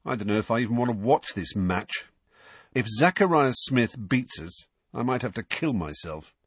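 A man's voice: medium pitch, lively intonation, moderate pace, slightly rough, no room sound. A man voicing an easygoing, fair-minded teenage prankster twin in an audiobook, mild and laconic. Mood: glum.

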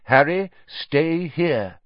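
Man doing an old headmaster, soft, quiet, gentle, dry lo-fi sound. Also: on the low side, lively intonation, slow, breathy edge, no echo, exaggerated voice. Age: older. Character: old thin wise headmaster, calm and gentle.

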